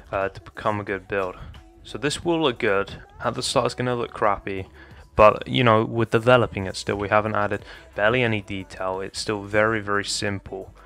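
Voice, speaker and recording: Chatty young guy w a lowish voice, kinda hoarse, calls everyone guys, soft music behind. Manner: chatty, talks viewers through steps, addresses them as guys. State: slightly hoarse, losing his voice. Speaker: Minecraft building tutorial creator. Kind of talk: casual gameplay tutorial commentary. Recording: faint background music.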